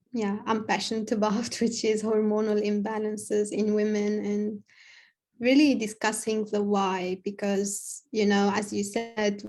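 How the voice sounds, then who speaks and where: A woman in her thirties from Tunisia talking as an interview guest on a video call, earnest, with halting phrasing. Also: medium pitch, darkish tone. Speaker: health coach, podcast guest. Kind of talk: conversational interview. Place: home room, indoors.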